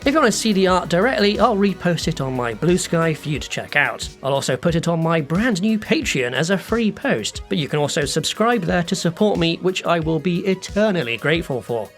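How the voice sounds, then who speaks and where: A man with a fairly high voice and very lively intonation narrates a scripted behind-the-scenes art video. His delivery is reflective and conversational, with a self-deprecating streak. Music plays behind him. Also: a little fast, few pauses. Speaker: digital artist narrating a making-of video.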